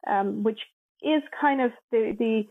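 This young woman from Latvia speaks natively and is a guest on a podcast, talking from home during lockdown. Her slightly high voice comes through a thin, phone-like line. She chats easily and often restarts mid-thought. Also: unhurried. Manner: chatty, thinks aloud with small restarts. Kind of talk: casual interview. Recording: tinny phone-like call line.

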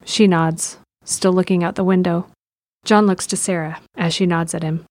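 A woman's voice: fairly low pitch, steady tone, normal speed, a little mellow, no room sound. A woman narrator reading scripted present-tense narration for an audio drama.

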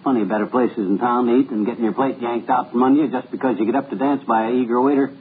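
Fibber McGee, a blustery husband. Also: male voice, mid-range voice, steady tone, quick pace, slightly rough. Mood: cheerful. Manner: brash, folksy, blustering. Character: blustery, boastful middle-aged husband. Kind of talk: 1940s radio comedy acting. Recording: tinny old radio broadcast with faint hiss.

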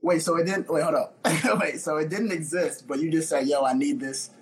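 A man's voice: on the high side, fairly even intonation, moderate pace. A young man casually interviewing a friend, laid-back and slangy.

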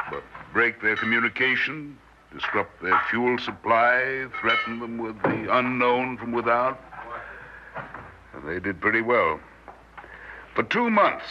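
An actor playing a grave, humane, authoritative doctor in a 1960s radio drama, speaking deliberately and with emphasis. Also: male voice, medium pitch, sharp tone. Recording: muffled, noisy old radio recording.

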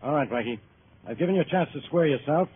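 A man's voice: on the high side, animated, fast talker, breathy edge. An actor playing a hot-tempered, sarcastic police inspector who bullies his suspects, in the style of forties radio drama. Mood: irritated, threatening. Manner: blunt, bullying, sarcastic interrogation. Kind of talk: 1940s radio drama acting. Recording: tinny, hissy old radio recording.

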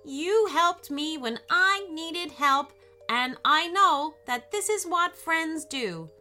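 Storybook robot sidekick, played by a woman: loyal, polite, formal, raised pitch, bright tone. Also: lively intonation, soft background music, exaggerated voice. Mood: warm, grateful. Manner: earnest, formal, measured speech. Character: Chester, friendly loyal robot guard, toy-sized.

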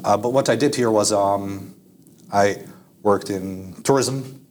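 Hesitant Dutch man, lowish voice. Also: slow pace, darkish tone, slight background noise. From Netherlands. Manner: hesitant, many fillers and restarts. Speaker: guest. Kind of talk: casual interview answers.

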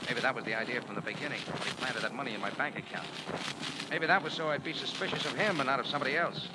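An actor with an American accent playing a tough, sardonic cafe owner and amateur sleuth in a 1940s radio detective drama, clipped and wry. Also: male voice, medium pitch, very fast, natural voice. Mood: wry narration. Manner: hard-boiled, wry, clipped. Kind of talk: 1940s radio detective drama acting with first-person narration. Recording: noisy old 1940s radio recording.

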